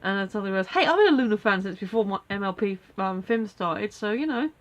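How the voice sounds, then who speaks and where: A woman's voice: mid-range voice, lively intonation, quick pace. A woman with a stuffy nose from a cold, rambling casually with many fillers in a daily video diary from home. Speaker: vlogger.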